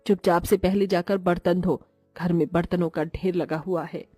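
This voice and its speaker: A snobbish, scolding cartoon mother-in-law, played by a woman. Her voice is soft-toned and slightly rough, closely recorded and dry, over music. She is sharp-tongued and sarcastic. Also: on the low side, fairly even intonation, moderate pace, no room sound, lightly acted. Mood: scornful, bossy. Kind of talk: Hindi cartoon moral-story voice acting.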